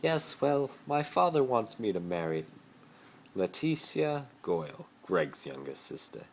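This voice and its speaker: A man's voice: on the low side, very animated, unhurried, pitched above the performer's own voice. A man voicing a spoiled, pleading, haughty teenage wizard boy in an amateur dramatic fan fiction reading. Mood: eager explaining. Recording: noisy amateur phone recording.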